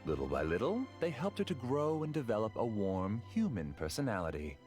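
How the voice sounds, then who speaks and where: A man voicing an obsessive, calculating researcher in a game cutscene, courteous, measured and quietly intense. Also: lowish voice, sing-song, soft background music. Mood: calm confession.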